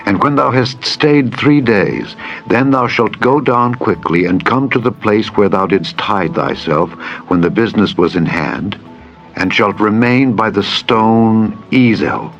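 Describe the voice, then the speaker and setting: A man voicing a loyal, solemn prince and devoted friend in a dramatized scripture reading, with measured archaic oaths. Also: fairly low pitch. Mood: earnest, instructing. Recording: dull lo-fi audio with music. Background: background music.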